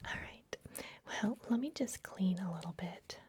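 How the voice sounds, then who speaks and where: A woman playing a gentle, secretive vampire librarian in an ASMR fantasy roleplay, speaking in a soft, breathy, confiding whisper. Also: mid-range voice, expressive, a little hiss or hum, natural voice. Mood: welcoming. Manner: gentle, hushed, confiding, unhurried.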